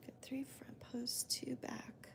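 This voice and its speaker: A woman hosting a crochet video in a soft, whispery voice, calmly counting stitches aloud as she works. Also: slow pace. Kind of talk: soft-spoken crochet demonstration and chat.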